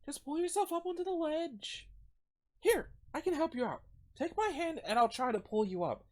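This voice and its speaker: A woman voicing an innocent, tender young girl in a high, soft voice with a hesitant, questioning manner, for a comic read-aloud. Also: lively intonation, moderate pace. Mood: concerned, coaxing.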